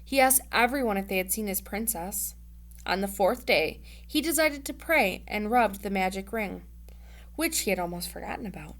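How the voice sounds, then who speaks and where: A woman's voice: mid-range voice, animated, normal speed, fairly bright tone. Woman reading a storybook aloud, expressively. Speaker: teacher reading aloud to students. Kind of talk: storybook read-aloud with casual asides.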